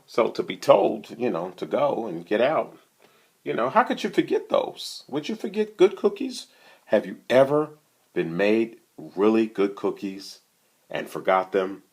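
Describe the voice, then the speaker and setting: Storytime reader: male, very low register, takes his time, conversational delivery with asides to the kids. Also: very animated, a little slow, slightly rough. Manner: conversational, often asks the listener questions. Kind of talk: reading a picture book aloud, with casual asides to young listeners.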